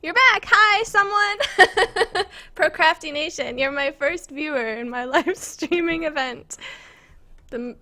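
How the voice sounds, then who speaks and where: A woman's voice: high-pitched, slow pace, pushed and bright. An American woman chatting casually to viewers on a live stream, rambling in a self-conscious, giggly way. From United States. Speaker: live streamer and game map maker. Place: indoors at a computer in an apartment.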